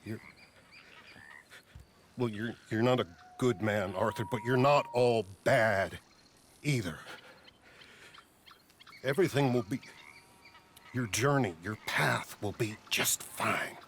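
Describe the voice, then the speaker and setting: A sentimental, reflective preacher of the old West in a game, in a mid-pitched voice. He speaks haltingly and earnestly, with faint noise behind him. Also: a bit bright. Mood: earnest, hesitant. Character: contrite, timid male frontier reverend, sentimental and reflective. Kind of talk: dramatic video game acting, Western.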